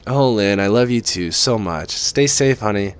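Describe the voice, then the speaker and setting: A man's voice: lowish voice, lively intonation, normal speed, smooth, voice pushed up, close to an everyday voice. A man reading aloud and voicing a doting, chatty middle-aged mother who fusses affectionately. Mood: fussing. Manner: fussy, affectionate, lilting.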